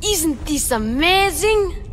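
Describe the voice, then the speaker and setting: A woman voicing a curious, cheeky young boy in a video game, excitable and boastful. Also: very high-pitched, slow pace, a little hiss or hum, full character voice. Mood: excited.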